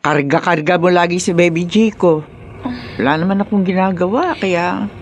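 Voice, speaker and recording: A woman's voice: fairly low pitch, normal speed, slight background noise, close to an everyday voice. An actress with a Filipino accent playing a caring but bossy, practical grandmother in a radio drama. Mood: chatty, cheerful.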